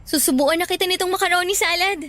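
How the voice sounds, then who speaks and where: An actress playing a cheerful, playful young student in a Filipino radio drama, bubbly and teasing, with a Filipino accent. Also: female voice, very high voice, fast talker. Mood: excited, sweet.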